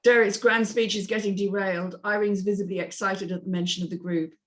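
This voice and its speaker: A woman's voice: medium pitch, fairly even intonation, fairly quick. Woman narrating a screenplay. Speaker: stage directions narrator in a script read-through. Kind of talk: scripted narration.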